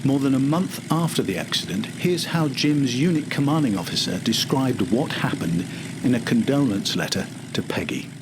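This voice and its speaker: A man's voice: mid-range voice, animated, moderate pace, noisy background. An older man narrating a documentary from a script.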